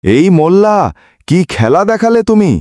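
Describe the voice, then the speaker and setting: Cartoon djinn tea seller, male actor: boastful and menacing, taunting delivery, very animated pitch, dry studio sound. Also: normal speed, dry recording. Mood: amazed, admiring. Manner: theatrical, taunting.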